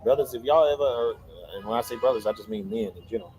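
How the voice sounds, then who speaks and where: A man co-hosting a casual livestream outdoors, relaxed, giving advice and answering viewer questions. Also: mid-range voice, quick pace, a little hiss or hum, poor audio. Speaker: livestream co-host.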